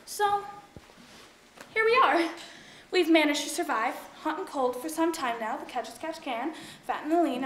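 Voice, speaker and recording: A woman's voice: high voice, pushed and bright. A woman acting a chatty, fretful housemaid and disgruntled actress in a theatrical monologue, with dramatic, emphatic, gossipy delivery. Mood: chatty, philosophical. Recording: echoey room with faint noise and clicks.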